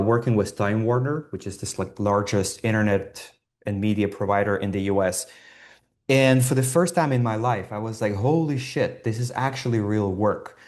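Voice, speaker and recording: Low-voiced man. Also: darkish tone. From Estonia. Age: 40s. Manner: candid, self-deprecating, swears casually. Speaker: designer giving an online talk. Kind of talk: informal autobiographical storytelling.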